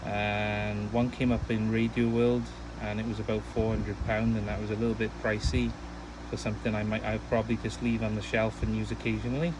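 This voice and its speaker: A man's voice: fairly low pitch, completely flat delivery, fairly quick, hardly any pauses, noisy background. A man chatting casually and at rambling length as an amateur radio operator. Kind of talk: casual ham radio chat.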